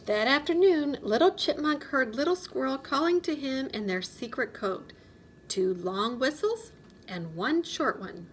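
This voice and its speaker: A woman reads a children's story aloud in a high voice with lots of melodic movement. Her delivery is expressive storybook narration, recorded with faint noise in the background. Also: unhurried. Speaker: storybook reader.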